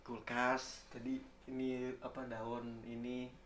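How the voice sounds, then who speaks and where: A young man with a Jakarta accent chatting casually in a comedic podcast, telling rambling stories in slang with an animated manner. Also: medium pitch, slow pace, roomy sound, crowd noise. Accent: Jakarta Indonesian.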